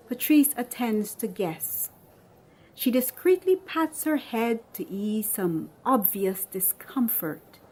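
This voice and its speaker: Slow, measured woman narrating a screenplay. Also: mid-range voice, a little mellow, husky. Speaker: script reader, narrator.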